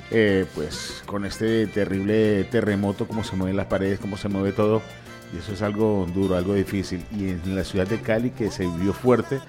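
Male radio co-host, lowish voice, earnest and measured commentary, faint music underneath. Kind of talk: conversational live radio commentary. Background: music behind the voice.

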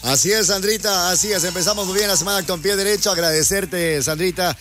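A man's voice: high-pitched, brisk, music in the background. A man hosting live radio, reading out sponsor promotions in a courteous, agreeable manner and often repeating his phrases. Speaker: radio host.

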